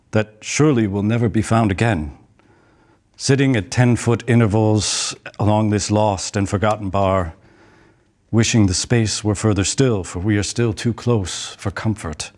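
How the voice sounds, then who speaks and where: A man performing a poetic dramatic monologue as a stage actor, in a measured, pensive, theatrical way with deliberate pauses. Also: lowish voice, darkish tone.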